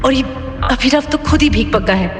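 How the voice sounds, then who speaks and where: Anxious young wife's echoing thoughts. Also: female voice, high-pitched, moderate pace, music in the background. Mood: worried, scornful. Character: anxious, scornful young wife thinking to herself. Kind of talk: inner monologue in audio drama. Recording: echoing, long reverb.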